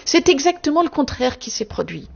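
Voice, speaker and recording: A woman from Belgium answering interview questions as an author, articulate and measured with a wry, self-ironic touch. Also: high voice, big pitch swings, gravelly, lo-fi sound.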